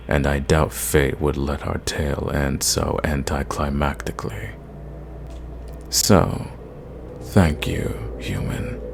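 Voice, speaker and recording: Man voicing a very deep, gravelly sadistic vampire. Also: noisy background, heavily acted. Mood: vengeful, then possessive menace. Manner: theatrical, condescending, savoring words, dropping into breathy whispers. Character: sadistic, possessive centuries-old male vampire, silky and menacing. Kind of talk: ASMR audio roleplay.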